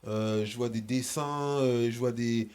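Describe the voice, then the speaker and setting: A man interviewing a musician, asking casual questions in a halting way with many restarts. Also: mid-range voice, steady tone, a little mellow, smooth.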